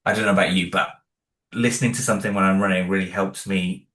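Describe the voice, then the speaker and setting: A low-voiced man talks through his creative choices in a relaxed interview, stopping and restarting his sentences as he thinks. The room around him rings with noticeable echo. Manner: thoughtful, halting, many restarts and "you know". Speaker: creator of an audio drama, interview guest. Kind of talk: casual interview talk.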